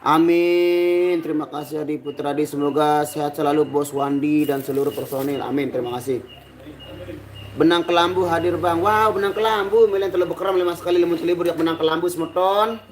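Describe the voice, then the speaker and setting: A man with a hoarse, recovering voice, chatting casually and greeting livestream viewers by name in a relaxed, informal way. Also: a little high, fairly quick. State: recovering sore throat, hoarse voice. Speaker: livestream host and singer.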